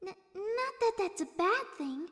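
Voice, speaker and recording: A woman voicing a timid, gentle young female pegasus pony in a cartoon-style story, apologetic and polite, with long reverb on the voice. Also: very high-pitched, sing-song, measured pace, exaggerated voice. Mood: musing.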